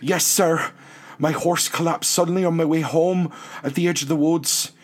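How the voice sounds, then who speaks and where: Earnest sixteen-year-old wayfarer male performer, high and pleading, storybook fantasy over music. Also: fairly even intonation, moderate pace, natural voice. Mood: anxious. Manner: urgent, pleading. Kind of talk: storybook character acting.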